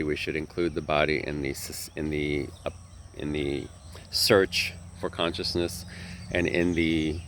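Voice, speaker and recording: A man with a very low, slightly nasal voice talks casually about teaching yoga. He rambles in an earnest way and keeps correcting himself as he goes. Faint crickets and outdoor country ambience sit behind him. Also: fairly bright tone, slight background noise. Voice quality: nasal from a sinus infection. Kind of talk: casual talk to camera.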